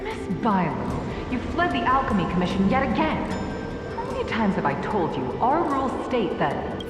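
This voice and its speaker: A woman's voice: a little high, wildly swooping pitch, normal speed. Game role: spectral elderly female scholar, formal and obsessive, distant in long reverb over music. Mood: stern, scolding. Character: echo of an old, learned, obsessive woman alchemist. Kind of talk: dramatic video game voice acting.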